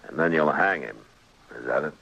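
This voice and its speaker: A man's voice: a bass voice, brisk, gravelly, bright, forceful tone, breathy edge, full character voice. An American man playing a stern, weary, terse frontier marshal in an old-time radio western. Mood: stern, warning. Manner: terse, commanding. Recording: muffled, noisy old radio recording.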